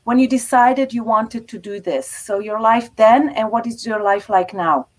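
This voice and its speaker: A middle-aged woman hosts the interview and talks to her guest in a reassuring, encouraging way. Her voice sits a little higher than most women's. She speaks in plain, friendly chat. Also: moderate pace. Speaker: interview host.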